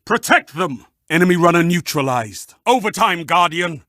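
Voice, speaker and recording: A theatrical game arena announcer, with a high, very animated voice and a forceful edge. He fires off short, savoring, dramatic calls to spur fighters on, in a dry close recording. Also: normal speed, dry recording. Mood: urgent. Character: theatrical, fervent male arena match announcer urging on fighters. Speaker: match announcer.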